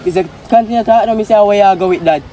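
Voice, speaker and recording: A young man with a Jamaican accent, chatting excitably and slangily with friends. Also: high-pitched, racing, a little hiss or hum. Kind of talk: casual chat among friends.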